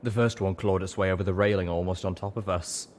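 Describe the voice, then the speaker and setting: A man's voice: sits low, fairly even intonation, fast, a little hiss or hum. A man reading a story aloud as scripted, dramatic narration. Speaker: audiobook narrator.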